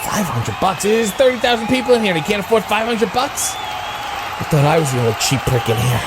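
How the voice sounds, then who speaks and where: A man's voice: sits high, big pitch swings, a little fast, a loud crowd, heavy background noise, voice pushed up. A man playing a cocky, scheming young wrestler with a brash, insistent, foul-mouthed manner, in an audio drama.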